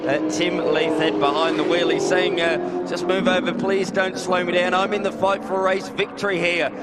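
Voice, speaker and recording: A male race caller reads out lap times and margins as the race unfolds. His voice is slightly high over the loud roar of race cars. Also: normal speed. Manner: lively lap-by-lap calling. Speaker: race commentator. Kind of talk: race commentary. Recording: loud race car noise behind.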